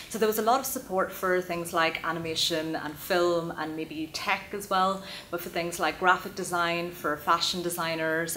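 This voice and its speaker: A woman introducing her work on a conference panel, fluent and steady. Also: fairly low pitch, slight background noise. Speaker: panel speaker, design event founder. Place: conference stage, large hall.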